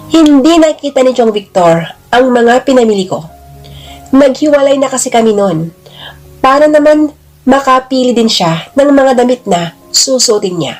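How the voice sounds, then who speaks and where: Female drama narrator, higher register, first-person storytelling over a music bed. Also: a little mellow, low-quality recording. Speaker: storyteller of a radio-style love drama.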